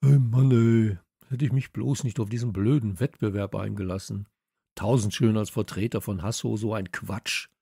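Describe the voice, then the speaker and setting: Casting: loud slangy lad for children's story, husky, teasing male reader performing. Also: lowish voice, fairly even intonation, moderate pace, no echo, clean studio sound. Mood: grumbling. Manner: blunt, exclamatory, slangy. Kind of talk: children's audiobook character voice.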